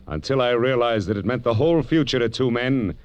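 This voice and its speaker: A man's voice: mid-range voice, expressive, brisk, clear, resonant tone, no room sound, dull audio, close to an everyday voice. An actor playing a tough-as-nails private eye, narrating his case dramatically in 1940s radio style. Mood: grim, dramatic. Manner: hard-boiled, dramatic narration. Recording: old 1940s radio recording, faint noise.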